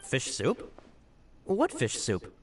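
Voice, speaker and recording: An actor playing an earnest, flustered subordinate in an anime video game, deferential and emphatic. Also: male voice, mid-range voice, wildly swooping pitch, slow, slight background noise. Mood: flustered, insistent. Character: earnest, flustered male subordinate reporting to his boss. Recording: slightly distant, music behind. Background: game music.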